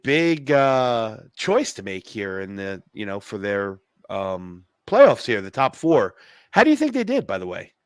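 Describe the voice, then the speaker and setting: Hoarse man hosting casual sports talk. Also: medium pitch, fairly bright tone, no room sound. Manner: rambling, many fillers and restarts. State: slightly hoarse from yelling. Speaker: podcast co-host.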